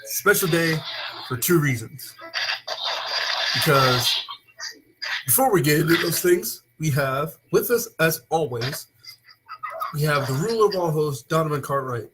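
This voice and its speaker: A young man hosting a casual wrestling talk show, opening with loud hype and then rambling with fillers. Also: a little high, animated, unhurried, long pauses, noisy background. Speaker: host. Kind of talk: casual wrestling podcast chat.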